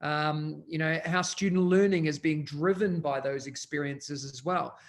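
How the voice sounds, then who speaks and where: Male host presenter, slightly high voice, filler-heavy delivery. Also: steady tone, measured pace. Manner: fluent but full of uh and um fillers. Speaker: education consultant and host. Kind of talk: conference presentation.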